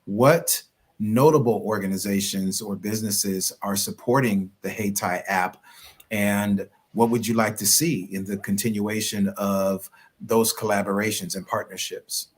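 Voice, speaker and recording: A man's voice: fairly low pitch, steady tone, measured pace, husky. A man hosting a podcast interview, asking questions and responding enthusiastically. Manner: enthusiastic, affirming. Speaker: podcast host.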